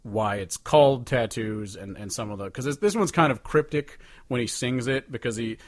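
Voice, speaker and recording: A man's voice: mid-range voice, moderate pace, dry recording. A man co-hosting a casual music podcast, talking in an informal, rambling, sweary way. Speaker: podcast co-host.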